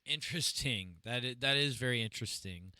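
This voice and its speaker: Bright, crisp-voiced man hosting a podcast. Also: medium pitch, brisk, dry recording. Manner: chatty, many fillers, reads out chat comments. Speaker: podcast host. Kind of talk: casual podcast chat.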